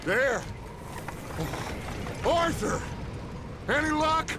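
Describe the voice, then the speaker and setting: An actor playing a concerned member of an outlaw gang on the trail in a video game. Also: male voice, very high voice, lively intonation, extremely slow, noisy background, heavily acted. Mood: urgent, concerned. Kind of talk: dramatic video game acting.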